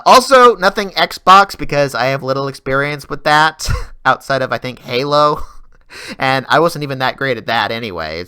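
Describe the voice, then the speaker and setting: Bright, clear voice giving a casual update. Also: medium pitch. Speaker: video game Let's Player. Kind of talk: rambling casual update voice-over.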